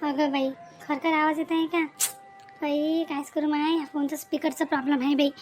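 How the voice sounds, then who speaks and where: A woman's voice: sits high, level tone, brisk, darkish tone, lightly acted. A woman voicing a chatty, dutiful young married village woman in a cartoon family drama, with a Varhadi Marathi accent. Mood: frustrated, fretting. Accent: Maharashtrian, Varhadi Marathi.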